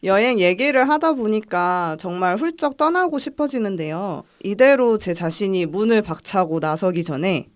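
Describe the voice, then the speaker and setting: Calm young woman podcast host. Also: medium pitch, moderate pace, few pauses. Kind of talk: casual chat and film recommendation.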